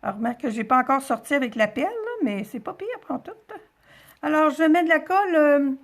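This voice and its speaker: A woman's voice: high voice, lively intonation, fairly quick. A woman with a Quebec French accent hosting a craft tutorial, talking informally step by step and thinking aloud as she goes. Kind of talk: casual step-by-step demonstration.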